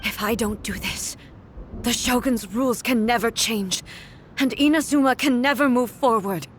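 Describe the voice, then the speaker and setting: A woman's voice: on the high side, moderate pace, a little hiss or hum, lightly acted. An actress voicing a cold, commanding woman ruler in a video game, with an imperious, clipped delivery. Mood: solemn. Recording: loud game music behind.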